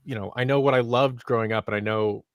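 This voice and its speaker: A man in his thirties talks as a guest on a casual show. His voice sits at a middle pitch, and he slips into little acted-out lines as he explains. It is recorded close and dry. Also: fast talker, no echo. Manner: animated, acts out imagined dialogue. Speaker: guest, audio drama creator. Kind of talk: casual interview talk. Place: small office, indoors, on a video call. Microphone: close boom-arm microphone, headphones.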